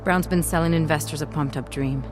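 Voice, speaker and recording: A woman's voice: sits low, quick pace, music in the background, lightly acted. A woman playing a shrewd investigator giving a matter-of-fact briefing in a video game. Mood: knowing, brisk.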